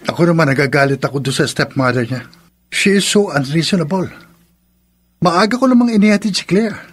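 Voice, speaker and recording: An earnest, higher-voiced young friend. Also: male voice, animated, fairly quick, slightly rough. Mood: indignant. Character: young man, indignant and supportive friend. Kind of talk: Filipino radio drama acting.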